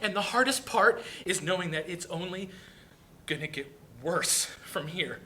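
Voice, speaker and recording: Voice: medium pitch, lively intonation, a little slow, sharp tone. A performer playing a hurt, blunt, protective young brother in a dramatic play reading. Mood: angry, exasperated.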